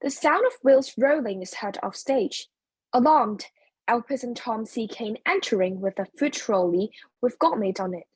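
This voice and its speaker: Husky, high-voiced woman narrator. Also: lively intonation, moderate pace, dry recording. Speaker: narrator reading stage directions. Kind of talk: scripted narration.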